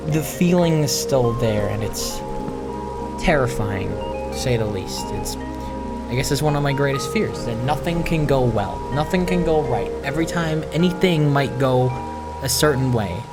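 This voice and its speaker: A man giving a casual, introspective monologue over gameplay, speaking in a halting, musing way and repeating phrases for emphasis. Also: medium pitch, expressive, loud music behind the voice. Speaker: host of a gaming video series.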